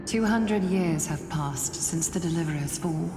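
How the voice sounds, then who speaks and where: A woman's voice: deep voice, measured pace. A woman voicing a solemn, portentous chronicler in a game, telling of a world's ruin. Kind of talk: game voice acting, dramatic narration. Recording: echoey long reverb, clear music behind.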